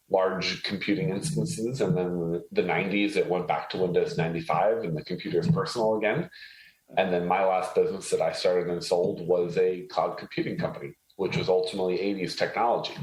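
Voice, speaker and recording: A man from Haiti speaking as an interview guest, explaining things conversationally with measured self-corrections. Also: sits low. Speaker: interview guest, tech entrepreneur. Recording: roomy, echoey sound.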